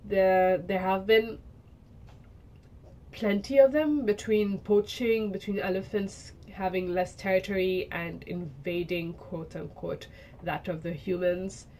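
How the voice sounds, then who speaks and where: A young woman from Zimbabwe and Kenya giving a personal portfolio presentation, reflective and pausing often to restart her thoughts. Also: mid-range voice, fairly even intonation, dark tone, a little hiss or hum, low-quality recording. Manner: reflective, with frequent restarts and hedges.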